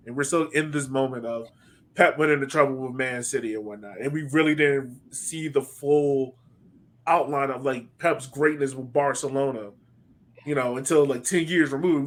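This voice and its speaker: A man hosting a casual sports podcast, speaking in an informal, conversational way. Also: mid-range voice. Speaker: podcast host.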